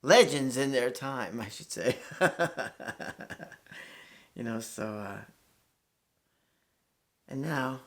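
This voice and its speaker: A man's voice: medium pitch, very slow, long pauses. A man in his sixties, from New York, speaks in an ordinary mid-range voice. He talks as a guitarist and channel host in a loose, reflective monologue. His delivery rambles, circles back on itself and is peppered with swearing. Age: 60s. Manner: rambling, candid, swears freely, with many fillers and restarts.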